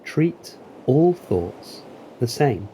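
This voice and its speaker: A man narrating a guided meditation in a calm, deliberate, soothing manner with long pauses. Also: medium pitch, a little hiss or hum, poor audio. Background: waves.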